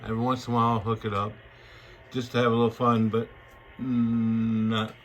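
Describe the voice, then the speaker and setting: A man's voice: mid-range voice, flat delivery, brisk, fairly bright tone, a little hiss or hum. A man giving a casual hands-on demonstration in a folksy, colloquial, rambling way. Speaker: radio hobbyist demonstrating equipment.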